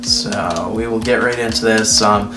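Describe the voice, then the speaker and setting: Young man, hoarse and subdued, lowish voice, casual game commentary in a roomy space with background music. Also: steady tone, unhurried. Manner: low-key, mumbling fillers, apologetic. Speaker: gaming YouTuber. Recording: roomy echo with music underneath.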